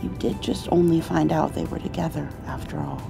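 A woman playing a caring, playful mother in an intimate ASMR roleplay, soothing her grown child with gentle, halting speech and endearments. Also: sits low, fairly even intonation, creaky, over music. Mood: gentle, concerned. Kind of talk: intimate comfort ASMR roleplay, acted as one side of a conversation.

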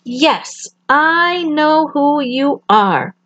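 Woman doing a high lost baby bird. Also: lively intonation, drawn-out words, a bit bright, a little hiss or hum, heavily acted. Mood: content. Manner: earnest, high childlike pleading. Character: small lost baby bird searching for mother, male.

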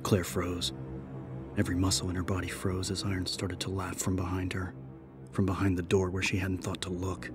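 A man narrating a scripted horror story as an audiobook, measured and serious. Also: low-pitched, completely flat delivery, over music.

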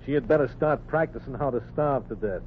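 An actor playing a wry, put-upon American bus driver, plain-spoken and grudging, in 1940s radio comedy style. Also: male voice, mid-range voice, brisk, lightly acted. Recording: tinny, noisy 1940s radio recording.

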